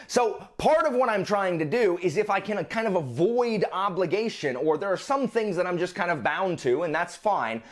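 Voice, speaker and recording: A man hosting a vlog, talking casually and informally about his reading list with playfully exasperated asides. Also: sits high. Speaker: book vlogger, channel host.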